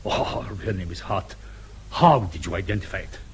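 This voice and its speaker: An actor playing a suave, sceptical police colonel in a radio drama, formal, precise and sarcastic. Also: male voice, sits low, lively intonation, normal speed, slightly rough, heavily acted. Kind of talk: British radio drama acting.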